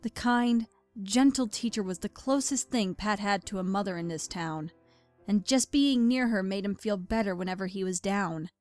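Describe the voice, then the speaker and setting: A woman's voice: mid-range voice, moderate pace, darkish tone, no echo, faint music behind. A woman reading scripted story narration as the narrator of an audio drama.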